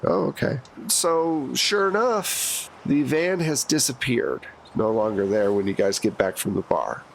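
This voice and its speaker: A man's voice: mid-range voice, expressive, measured pace, vocal fry, a little hiss or hum. A man running a tabletop spy game as game master, giving dry, teasing asides to his players.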